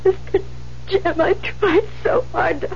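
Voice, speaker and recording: An actress playing a meek, troubled young nun in a 1970s radio drama, hesitant, stammering and earnest. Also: female voice, very high voice, unhurried. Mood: sorrowful. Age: young adult. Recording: dull, noisy 1970s radio recording.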